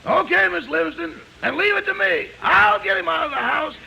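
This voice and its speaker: A man playing a devoted, cheeky household servant with a raspy voice, wisecracking emphatically in a 1950s radio comedy. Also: extremely high pitch, animated, brisk, pushed and bright, exaggerated voice. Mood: bustling, excitable. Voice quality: gravelly, raspy. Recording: very noisy 1950s radio recording.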